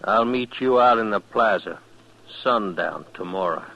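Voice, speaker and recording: A man's voice: on the low side, a little slow, vocal fry, heavy background noise. An American actor playing a cocky, menacing gunman in an old Western radio drama, drawling and taunting in terse lines. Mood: cold challenge. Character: menacing, cocky male gunman spoiling for a fight. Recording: dull old-time radio recording, crowd murmur.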